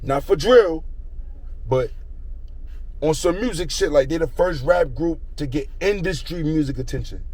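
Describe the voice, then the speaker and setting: A man's voice: fairly high pitch, lively intonation, measured pace, darkish tone, poor audio. Rambling street storyteller, slangy man. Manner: slangy, rambling, repeats a catchphrase. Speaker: rapper telling a story.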